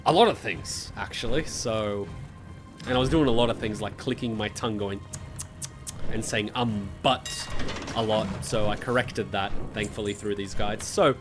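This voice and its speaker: Guy rambling over his gameplay, lowish voice, music going under it, keeps calling himself an embarrassment. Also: normal speed. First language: Serbian. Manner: rambling, self-deprecating, swears casually. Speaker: gaming guide creator. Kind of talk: casual gameplay commentary. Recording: music playing behind voice.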